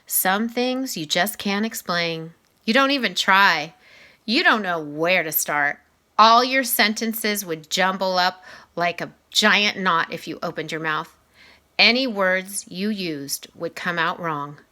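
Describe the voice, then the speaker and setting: A woman reading a children's novel aloud in an expressive, emphatic storytelling style. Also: on the low side, slow pace. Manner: animated, dramatized dialogue with emphatic delivery.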